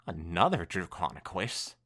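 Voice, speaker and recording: Casting: man playing a cautious, bookish pony friend, low pitch, very swooping intonation, doubtful but kind. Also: big pitch swings, unhurried, pushed and bright, exaggerated voice. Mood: incredulous. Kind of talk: fan fiction dramatic reading.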